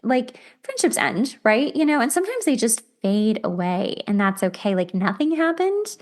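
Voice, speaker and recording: Casting: female podcast host, slightly high voice, chatty explainer who talks through relatable examples conversationally. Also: very animated, moderate pace. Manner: conversational, acts out imagined dialogue as examples. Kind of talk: casual explanatory self-help talk.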